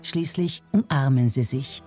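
Mellow documentary narrator over music. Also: mid-range voice, a little slow.

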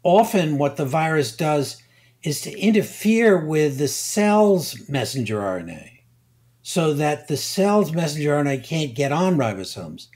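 An older man, a guest scientist, explaining slowly and deliberately, pausing and repeating words as he thinks. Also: on the high side, sing-song, a little mellow. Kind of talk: unhurried expert explanation.

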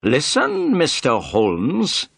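High-pitched pompous police inspector. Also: male voice, very animated, takes it slowly, no room sound, studio quality, exaggerated voice. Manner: self-important, dismissive. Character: fat pompous red-faced male police inspector.

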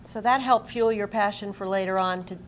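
A woman's voice: medium pitch, steady tone, a little fast, slight background noise. A middle-aged woman hosting a television interview in an encouraging, complimentary way. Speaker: interviewer, host. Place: TV studio desk. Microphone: lapel mic.

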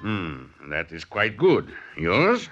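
An actor playing a fussy, easily flustered male art expert in a 1940s radio mystery, speaking haltingly. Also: fairly low pitch, wildly swooping pitch, a bit bright, muffled recording, full character voice. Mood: fussy, appraising. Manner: hesitant, halting, repeats words.

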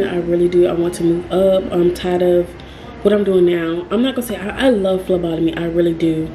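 Low, flat-voiced young woman vlogging. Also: reverberant, a little hiss or hum, poor audio. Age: young adult. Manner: rambling, frequent ums and self-corrections. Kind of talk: casual talk to camera.

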